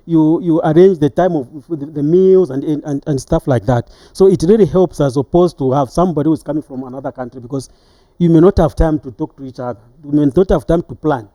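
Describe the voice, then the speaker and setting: A middle-aged man with a Zambian accent recounting his experiences as a retired referee in an interview, halting and repeating words as he goes. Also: fairly high pitch, warm tone, no echo.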